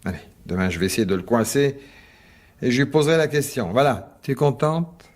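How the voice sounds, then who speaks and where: Husky, dismissive husband played by an actor. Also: male voice, mid-range voice, lively intonation, a little fast, darkish tone, lightly acted. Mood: grudging, placating. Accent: French. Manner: grudging, offhand, repeats words. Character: weary, dismissive husband humouring his anxious wife. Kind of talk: radio mystery drama acting.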